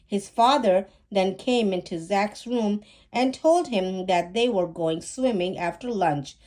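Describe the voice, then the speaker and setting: A woman reads a children's story aloud at an unhurried pace. Her voice sits at a medium pitch, and she narrates expressively, in a measured read-aloud style. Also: a little mellow. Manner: measured, acts out dialogue.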